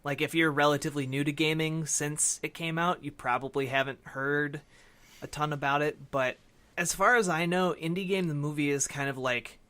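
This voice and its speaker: Bright, higher-pitched male podcast host. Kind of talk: casual film discussion.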